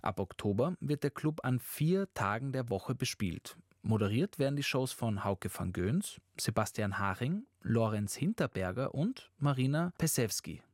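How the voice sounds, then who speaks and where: Guy reading news voice-over, even tone, dead dry studio sound. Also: medium pitch, moderate pace, few pauses, no echo. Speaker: news reporter. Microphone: studio microphone.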